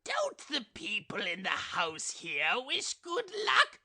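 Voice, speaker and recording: A man's voice: high-pitched, wildly swooping pitch, slow, pushed and bright, exaggerated voice. A man reading a storybook voices a modest, curious matchbox in a mild, questioning tone.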